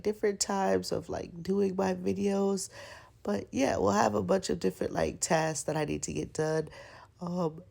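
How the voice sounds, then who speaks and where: A woman's voice: deep voice, creaky, dark tone. A young woman with a stuffy, congested voice, chatting casually over her planner video with lots of fillers. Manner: chatty, rambling, with many fillers. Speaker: planner vlogger.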